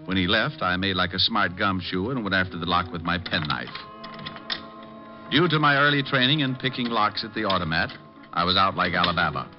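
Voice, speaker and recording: A man playing a suave, wisecracking private detective in an old radio drama, glib, sardonic and quick-witted. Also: sits low, animated. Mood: wry narration. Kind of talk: 1950s radio detective drama acting, narration and dialogue. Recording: dull old radio recording, music behind.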